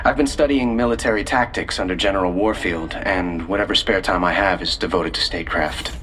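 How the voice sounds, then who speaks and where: A suave young male crown prince in a sci-fi game. His very low voice is measured and courtly, with slight noise behind it. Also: fairly bright tone. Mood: suave, composed. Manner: measured, courtly, smooth-talking.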